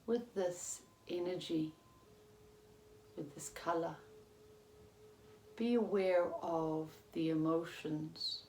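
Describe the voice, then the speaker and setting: A woman yoga teacher leading a guided relaxation in a calm, measured, soothing manner with long pauses. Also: lowish voice. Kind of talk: guided meditation, yoga nidra instruction. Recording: roomy echo with faint background noise.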